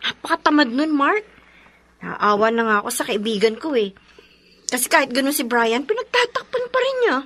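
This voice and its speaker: A chatty young Filipina friend in a radio drama. Her voice is high and lively, and she speaks with emphatic, gossipy concern. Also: moderate pace, heavily acted. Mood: gossiping.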